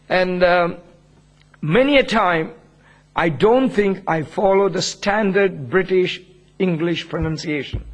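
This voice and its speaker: Husky preacher with an Indian accent. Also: male voice, high-pitched. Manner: humble, earnest, chuckles often. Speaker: pastor. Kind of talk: sermon, personal testimony.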